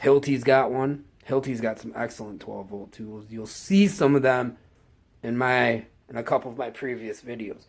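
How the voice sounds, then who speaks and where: A man with a stuffed-up cold, casually showing off his work tools in a slow, halting, enthusiastic way. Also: medium pitch, vocal fry. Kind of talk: casual tool walkthrough.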